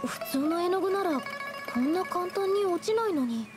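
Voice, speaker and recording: A high-pitched young woman painter from an anime game, shy and self-doubting. She speaks softly and earnestly in a hesitant, reflective way, with clear background music. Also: animated, normal speed. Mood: puzzled.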